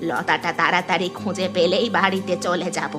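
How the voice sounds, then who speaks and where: A woman's voice: fairly low pitch, fairly even intonation, fairly quick, music in the background, close to an everyday voice. A woman voicing a caring but stubborn, easily irritated old grandmother in a Bangla cartoon. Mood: irritable.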